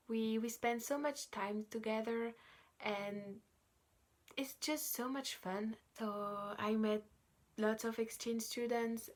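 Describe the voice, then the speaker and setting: Slow young Belgian woman. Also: a little high. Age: young adult. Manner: slow, halting, with pauses. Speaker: exchange student. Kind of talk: personal testimonial.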